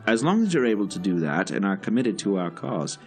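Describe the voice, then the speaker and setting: An actor playing a solemn, reassuring leader in an audio drama, measured and earnest. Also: male voice, lowish voice, big pitch swings, soft background music.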